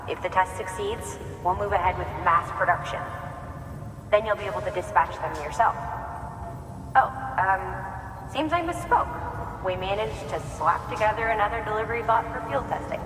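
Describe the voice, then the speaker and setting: A man's voice: sits high, moderate pace, over music, close to an everyday voice. An actor playing a polite male engineer and new father who explains his delivery bots at length, in a game cutscene. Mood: earnest. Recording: echoey hall with loud background noise.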